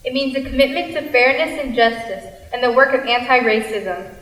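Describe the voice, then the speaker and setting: A woman's voice: sits high, moderate pace, a bit bright. A woman reciting scripted lines as a student performer in readers theater. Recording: echoey room.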